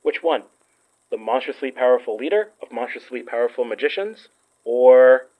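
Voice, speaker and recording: A man's voice: medium pitch, animated, moderate pace, close to an everyday voice. Actor as a dry skeptical man, slightly muffled. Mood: sarcastic. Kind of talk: audio drama acting.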